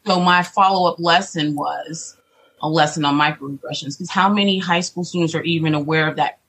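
A middle-aged woman, a teacher being interviewed, talking in an earnest, deliberate, reflective conversational way. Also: low-pitched, fairly even intonation, fairly bright tone, slightly rough. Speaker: teacher, podcast guest.

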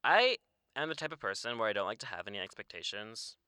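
A young man co-hosting a casual podcast, loose and profane, who says his natural voice runs monotone. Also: on the low side, fast, clear, resonant tone, no echo, studio quality. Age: young adult. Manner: loose, swears often, calls his usual voice monotone. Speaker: podcast co-host. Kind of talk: casual chat.

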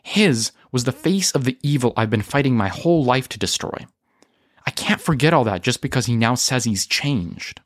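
A man plays a young rebel woman in an audio story, guarded and bitter. The delivery is flat and firm, at a middling pitch. The sound is dry and close. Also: moderate pace, no room sound, lightly acted.

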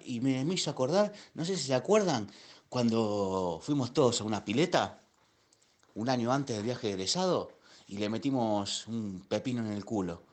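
A man's voice: medium pitch, a little mellow, close to an everyday voice. A man playing a nostalgic middle-aged Argentine who rambles and repeats himself in a comic radio play voice message. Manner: rambling, repetitive.

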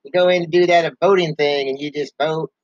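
A man's voice: a little high, fairly even intonation, brisk, no room sound, natural voice. A man playing a male bear hosting a podcast, rambling in broken hick grammar and ranting angrily, recorded dry and a little muffled. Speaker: podcast host.

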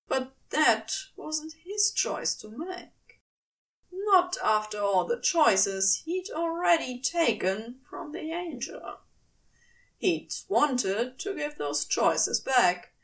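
A woman's voice: sits high, fairly bright tone, distant mic. A woman reading fiction aloud as dramatic scripted narration. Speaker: fiction reader.

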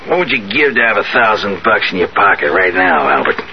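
An actor playing a tired old wheeler-dealer in a 1970s radio drama, halting yet insistent as he bargains. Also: low-pitched, sing-song. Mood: sly, coaxing. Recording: muffled, hissy 1970s radio recording.

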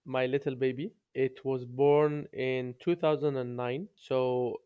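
Smooth man, slightly muffled recording. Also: mid-range voice, fairly even intonation, slow, warm tone. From London, UK. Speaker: podcast guest. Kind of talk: conversational storytelling.

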